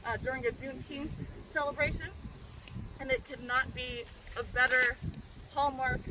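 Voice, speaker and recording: High-pitched woman prof talking outdoors, eager and chatty, says right? a lot, noisy background. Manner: enthusiastic, talkative, says "right?" often. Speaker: professor and nonprofit founder. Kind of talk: outdoor public speech. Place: outdoors at a historic site.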